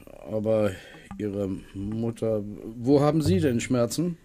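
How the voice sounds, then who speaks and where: A wry, musing man with an artificial leg in a radio play. His voice sits low and he drags each halting phrase out very slowly. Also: expressive, mellow. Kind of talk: 1970s radio play acting.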